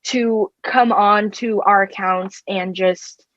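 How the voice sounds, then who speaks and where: A woman's voice: mid-range voice, steady tone, fairly bright tone, no echo. Hesitant teen girl, muffled dry audio. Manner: hesitant, halting. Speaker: guest, fan page owner. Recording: dull, dry video call audio.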